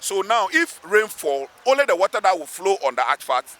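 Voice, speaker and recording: High, bright-voiced Ghanaian man, emphatic. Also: very animated, fairly quick. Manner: emphatic, repeats phrases for weight. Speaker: local assemblyman, interviewee. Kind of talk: spoken interview, pleading advocacy. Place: outdoors beside a road underpass.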